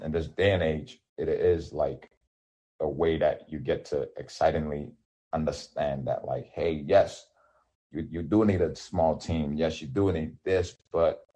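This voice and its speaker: A man's voice: extremely low pitch, warm tone. A man in his thirties whose first language is Yoruba, an independent musician talking loosely in an interview with lots of restarts and fillers. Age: 30s. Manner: rambling, many restarts and fillers. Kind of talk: casual interview.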